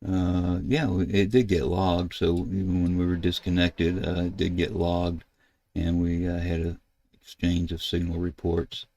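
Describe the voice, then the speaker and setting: Low, gravelly older American man, casual. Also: little pitch movement, dark tone. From United States. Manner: halting, thinking aloud while he works. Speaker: ham radio video host. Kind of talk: casual screen-share tutorial narration. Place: indoors at a computer desk.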